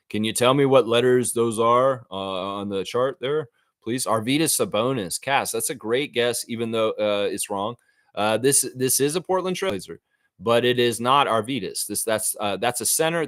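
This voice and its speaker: A man's voice: on the low side, fairly bright tone, dry recording, clean studio sound. A man hosting a live sports fan chat, talking informally and conversationally as he thinks aloud to his viewers.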